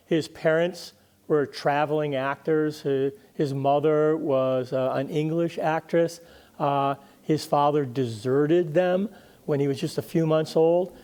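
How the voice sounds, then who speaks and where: An older man speaking conversationally as a guest historian in an interview, thoughtful and halting, often doubling back on words. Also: medium pitch, darkish tone.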